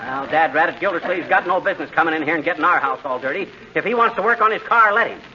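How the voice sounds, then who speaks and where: An actor playing a blustering, wisecracking American husband in a 1940s radio comedy. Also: male voice, fairly high pitch, fast, pushed and bright. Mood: indignant. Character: blustering, irritable, wisecracking small-town husband. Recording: dull, hissy 1941 radio recording.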